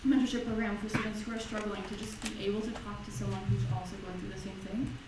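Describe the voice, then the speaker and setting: A woman's voice: medium pitch, little pitch movement, fast talker, heavy background noise. A young woman, a student election candidate, speaking earnestly with frequent ums. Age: young adult. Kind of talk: debate answer. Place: university lecture hall. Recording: echoey lecture hall, distant mic.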